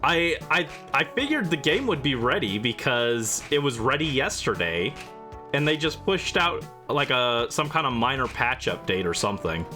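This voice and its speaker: A man's voice: mid-range voice, very animated, moderate pace, pushed and bright, soft background music. A man hosting a gaming livestream, chatting casually and playfully as he responds to his viewers. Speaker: livestream host.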